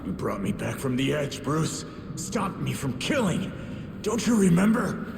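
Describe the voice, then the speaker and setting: A man's voice: fairly high pitch, big pitch swings, unhurried, gravelly, exaggerated voice. An actor playing a young vigilante in a video game cutscene, loyal and earnest, urgently pleading with his mentor. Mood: desperate pleading. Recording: echoey reverberant hall, loud background noise.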